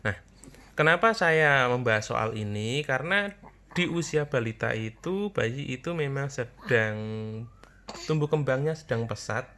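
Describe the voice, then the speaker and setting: A man's voice: medium pitch, lively intonation, a little slow, sharp tone. Chatty Javanese man talking parenting. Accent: Javanese-accented Indonesian. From Java. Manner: chatty, repeats points, addresses parents directly. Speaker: parenting channel host. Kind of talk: casual talk to camera.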